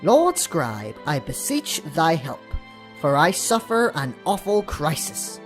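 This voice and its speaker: A woman puts on a troubled young maiden, pleading earnestly in old-fashioned courtly phrases for an audio drama. The delivery is expressive, with faint music underneath. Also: sits low, takes it slowly, exaggerated voice. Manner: pleading, archaic diction.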